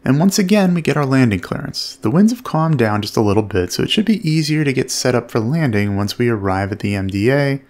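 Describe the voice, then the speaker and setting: A man narrating as a flight instructor in a measured, explanatory way over flight footage. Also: mid-range voice, big pitch swings, a little mellow.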